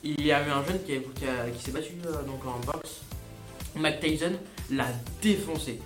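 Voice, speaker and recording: A man's voice: a little high, moderate pace. A young man co-hosting a casual student radio chat, eager and rambling, full of fillers. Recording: echoey room, music behind.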